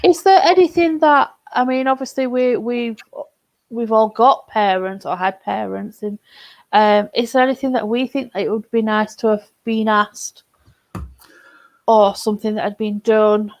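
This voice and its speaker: A woman's voice: a little high, moderate pace. A woman hosting a casual group chat, asking thoughtful questions with frequent restarts.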